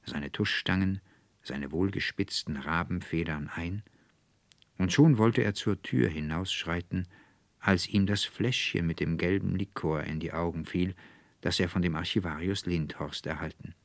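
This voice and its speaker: A man with a standard German accent narrating a literary fairy tale in a measured, dramatic, precise manner. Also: deep voice, no echo. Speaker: audiobook narrator.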